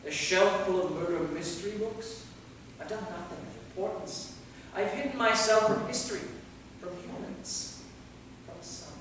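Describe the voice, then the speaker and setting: A man's voice: sits high, moderate pace, a bit bright. A man playing a weary, fearful, bookish six-hundred-year-old immortal in a staged play reading. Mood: regretful. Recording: very echoey hall, far mic, faint noise.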